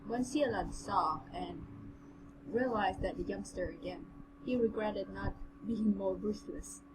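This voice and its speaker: A woman's voice: medium pitch, unhurried, noisy background. A woman co-hosting a novel read-along, reading aloud and commenting in an informal, playful way. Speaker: co-host and reader.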